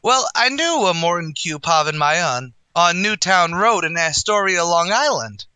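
A man's voice: high-pitched, expressive, normal speed, bright, forceful tone, clear, resonant tone, no room sound, exaggerated voice. An actor playing a brash, boastful male tavern manager, in a wisecracking 1940s radio comedy style. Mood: chatty.

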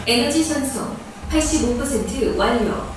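A woman's voice: on the high side, lively intonation, moderate pace, natural voice. A woman voicing an impersonal facility announcement system in a video game. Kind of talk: scripted announcement. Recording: very echoey, noisy facility announcement.

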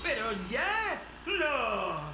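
A clownish, childlike fireman in an animated kids' show. His delivery is very stretched and lively in pitch, with music underneath. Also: very slow, heavily acted. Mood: playful, dismayed. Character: goofy, childlike, eager male firefighter. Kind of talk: animated children's cartoon dub.

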